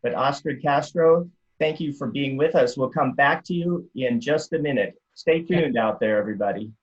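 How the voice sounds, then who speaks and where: A man hosting a TV interview show, giving steady, scripted links between segments. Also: medium pitch, normal speed, lo-fi sound. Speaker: TV show host.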